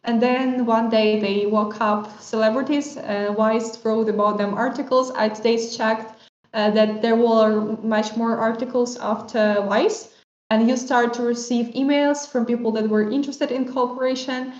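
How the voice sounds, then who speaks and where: A woman hosting a livestream panel on a video call, talking in a slightly halting way with non-native phrasing. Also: a little high, steady tone, a little mellow. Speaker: host. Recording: roomy, dull lo-fi stream audio.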